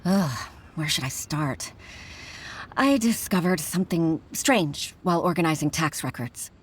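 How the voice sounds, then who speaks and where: An actress playing an earnest, flustered young junior clerk in a video game, hesitant and deferential in manner. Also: female voice, sits low, expressive, unhurried, slight background noise. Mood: troubled.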